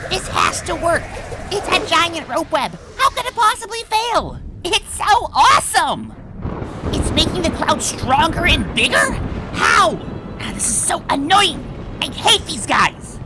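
A woman's voice: very high-pitched, big pitch swings, unhurried, heavy background noise, heavily acted. A woman voicing a brash, cocky young female pony hero in a comic dub, boastful, impatient and dramatic. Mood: frustrated.

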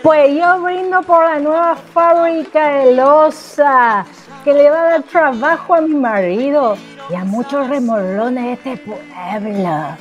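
Casting: a woman as a high-voiced, slow, wry villager, dull recording. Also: faint music behind. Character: practical village wife at a party.

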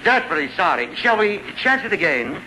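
An actor playing a bumbling, theatrical comic with a mock Russian accent, grandiose and over-polite, in 1940s radio comedy. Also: male voice, sits high, very animated, fast talker. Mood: eager, polite. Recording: noisy vintage radio recording, live audience.

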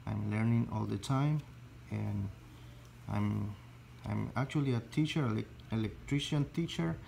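A man with a Spanish accent, Spanish his first language, teaching an electrical calculation slowly step by step, halting and restarting phrases as he searches for English words. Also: fairly low pitch, creaky, a little mellow, a little hiss or hum. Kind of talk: slow step-by-step tutorial.

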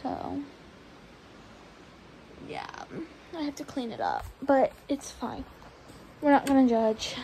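High-voiced young woman chatting to cats. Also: huge pitch swings, slow, vocal fry, long pauses, dark tone, slight background noise, rough recording. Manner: chatty, giggly, coos at her cats in a high baby voice. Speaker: vlogger. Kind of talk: casual barn tour vlog. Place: indoor barn room with cats. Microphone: phone held in hand.

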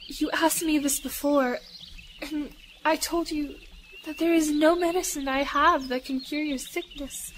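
An earnest missionary lady in a radio play, high voice, takes her time, formal wording, bit of bird noise. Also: steady tone, measured pace. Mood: kind, regretful. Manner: earnest, gentle, formal phrasing. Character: devout, earnest, humble lady missionary in India. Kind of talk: audio drama acting.

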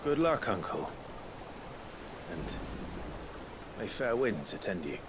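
An actor playing an earnest, gruffly dry, stubborn young warrior hero in a video game cutscene. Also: male voice, lowish voice, big pitch swings, takes it slowly, background noise. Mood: warm, dry. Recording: clear sea and ship ambience behind.

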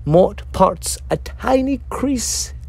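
Guy reading a creepy funny story, real slow and theatrical, crackly fry in his voice, very dry sound. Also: high voice, lively intonation, darkish tone, no echo. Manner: theatrical, deliberate, relishing macabre wordplay. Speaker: author reading own story. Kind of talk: scripted dark-comedy story narration.

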